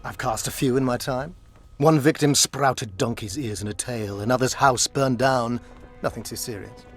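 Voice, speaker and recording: Mid-pitched scholarly male sorcerer, acted, condescending, mock-polite, pompous, video game dialogue. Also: normal speed, soft background music, natural voice. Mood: sceptical. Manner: pompous, silky, mock-courteous.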